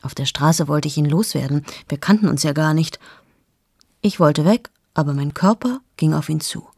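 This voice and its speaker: A restless journalist in her late thirties tells her story in a husky, breathy voice that sits low. She is intimate and confessional and often sinks to a whisper. The sound is dry and close, with no room around it. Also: moderate pace, a little mellow, no room sound. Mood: brooding. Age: 30s. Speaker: narrator of a radio play monologue. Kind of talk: radio drama monologue.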